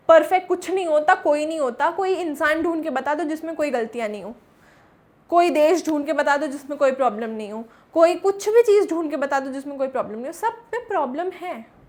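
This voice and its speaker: High-pitched, chatty Indian woman teacher. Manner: chatty, encouraging, emphatic, often seeks agreement with tag questions; mixes Hindi and English. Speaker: English teacher. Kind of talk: informal motivational lesson to students.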